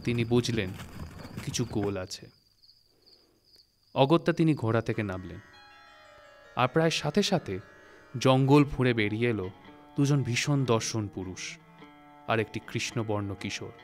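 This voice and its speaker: A man's voice: mid-range voice, animated, a little slow, long pauses. A man narrating a literary story from a script. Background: prominent music.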